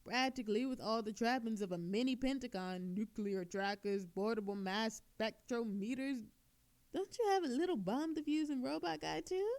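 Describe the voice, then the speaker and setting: A woman's voice: on the high side, moderate pace, darkish tone, natural voice. A woman playing a smug, flirtatious thief in an audio roleplay, teasing and mocking a detective in a playful, theatrical, coy manner.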